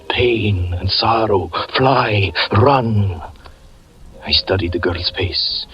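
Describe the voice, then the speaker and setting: A deep, low-voiced magician tells his tale in slow, solemn tones. It comes from a dull, muffled old radio broadcast. Strong music runs beneath him. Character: brooding male magician and fortune teller narrating his past. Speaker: narrator. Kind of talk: 1940s radio acting.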